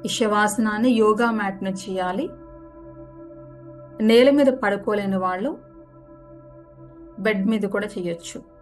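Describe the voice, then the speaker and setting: Gentle woman guiding meditation over music. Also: mid-range voice. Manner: gentle, unhurried step-by-step instructions. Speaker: yoga nidra guide. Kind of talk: guided relaxation meditation instruction. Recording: music behind the voice. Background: background music.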